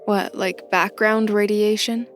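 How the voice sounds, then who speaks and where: A woman's voice: medium pitch, fairly even intonation, takes it slowly, soft background music, lightly acted. A woman playing a curious, wary young newcomer in an audio drama, conversational and inquisitive as she explores. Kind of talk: audio fiction podcast acting.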